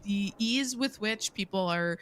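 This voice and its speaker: A woman's voice: mid-range voice, unhurried, fairly bright tone, slight background noise. A woman hosting a casual streamed panel chat, talking with passion and plenty of fillers as she makes her points. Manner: impassioned, rambling with fillers. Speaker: panel host.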